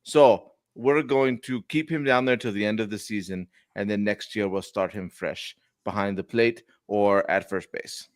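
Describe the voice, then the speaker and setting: A man's voice: on the low side, steady tone, moderate pace, few pauses, no echo, studio quality. A man co-hosting a casual sports podcast, talking in a put-on Jamaican accent from a lost bet. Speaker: podcast co-host. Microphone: remote streaming mic.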